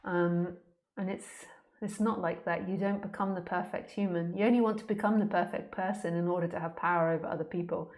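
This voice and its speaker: A woman's voice: lowish voice, fairly even intonation, brisk, darkish tone. A woman speaking as a spiritual teacher in an informal question-and-answer talk, candid, plain-spoken and conversational.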